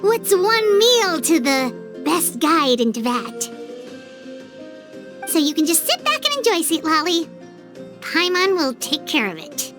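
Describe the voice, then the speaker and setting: A woman voicing a small childlike fairy guide in a video game, squeaky, eager and caring. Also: very animated, a little slow, pauses often, heavily acted. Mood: cheerful, reassuring. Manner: refers to self in the third person, eager. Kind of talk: video game character acting. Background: game music.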